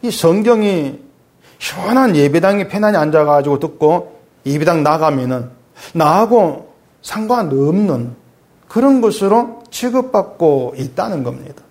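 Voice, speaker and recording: A middle-aged male pastor preaching conversationally, asking his congregation questions and dropping low and soft when he reads scripture. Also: animated, measured pace. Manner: swings between raised emphatic lines and quiet low asides; reads scripture aloud in a lower, softer voice. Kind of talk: sermon.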